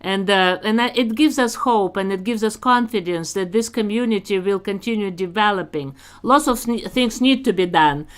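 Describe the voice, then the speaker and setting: A woman from Russia with a Russian accent, hosting an online community interview in a measured, encouraging way. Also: medium pitch. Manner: measured, encouraging, unhurried with fillers. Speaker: host.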